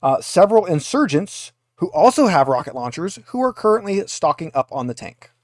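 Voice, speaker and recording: Male game master, slightly high voice, dry sound, wry off-the-cuff tabletop narration. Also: very animated, moderate pace, no echo.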